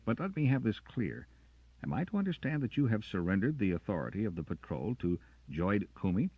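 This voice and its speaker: A man's voice: low-pitched, moderate pace, no room sound, highs cut off, voice pushed up, lightly acted. A man voicing a stubborn, defiant young male ranger sergeant in an audiobook, with a cold, cutting manner.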